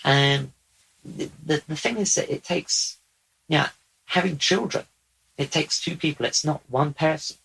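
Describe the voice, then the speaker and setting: A man speaking as a channeled otherworldly male being, slow, deliberate and repetitive. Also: fairly high pitch, vocal fry, fairly bright tone, slightly rough, heavily acted, poor audio. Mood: earnest. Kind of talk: channeled spiritual talk.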